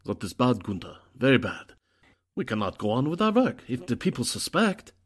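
A man's voice: medium pitch, very animated, moderate pace, slightly rough. A man playing a suspicious, fearful German-American in a 1940s-style radio drama, accusing and agitated. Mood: grim.

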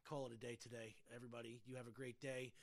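A man's voice: medium pitch, flat delivery, quick pace, background noise. A man hosting a podcast, chatting in an informal, encouraging way. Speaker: podcast host. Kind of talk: casual chat.

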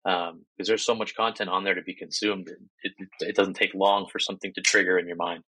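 Bright-voiced man, easygoing guest. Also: sits low, brisk, slightly rough, no echo, low-quality recording. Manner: relaxed, self-deprecating, frequent ums and restarts. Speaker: podcast guest. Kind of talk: casual interview talk.

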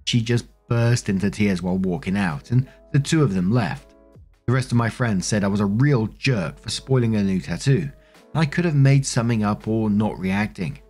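A man narrating online stories in a steady, even reading voice. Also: on the low side, normal speed. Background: faint music.

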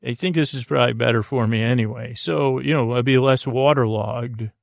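A man with a gravelly voice hosts a podcast meant to put people to sleep. He talks slowly through an aimless story, often stumbling, restarting and filling gaps with "uh." The sound is close and dry, with no room around it. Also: mid-range voice, no room sound. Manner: meandering, halting, full of restarts and uhs. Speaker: podcast host. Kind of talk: rambling bedtime story to put listeners to sleep.

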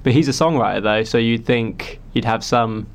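Young songwriter guy rambling on with a gravelly edge to his voice. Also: medium pitch, moderate pace. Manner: rambling, filler-heavy storytelling. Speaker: singer-songwriter. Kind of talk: casual chat.

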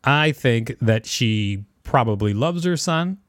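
A man's voice: medium pitch, expressive, takes it slowly, dry recording, clean studio sound. A man co-hosting a podcast, reading an article aloud and discussing it casually. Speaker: podcast co-host.

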